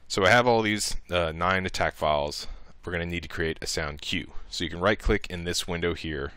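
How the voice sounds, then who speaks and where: A man with a low voice walks through a software tutorial in a casual, informal way. His tone is bright and the sound is dry and close, and he thinks aloud as he goes. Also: brisk, smooth, no echo. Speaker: tutorial narrator.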